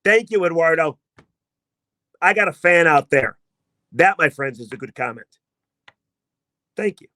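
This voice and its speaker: A man hosting a sports podcast, talking in a casual, emphatic and self-mocking way, with a voice he himself calls not deep. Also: animated, a little slow, pauses often, bright, forceful tone, no echo, studio quality. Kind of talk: casual sports commentary monologue.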